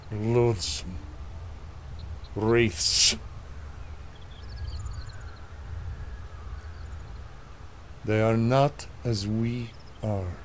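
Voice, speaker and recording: An actor playing a solemn, stern old guide in a video game, speaking gravely and theatrically with halting, weighty pauses. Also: male voice, fairly low pitch, a little hiss or hum. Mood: grave, brooding. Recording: music behind the voice.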